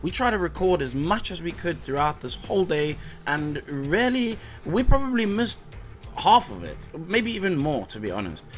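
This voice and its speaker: A man in his thirties talks through his vlog in a loose, rambling way, as if chatting with a friend. His voice sits a little high for a man. Light background music plays beneath the voice-over. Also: normal speed. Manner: relaxed, rambling, easygoing. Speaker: vlogger and hobby shop owner.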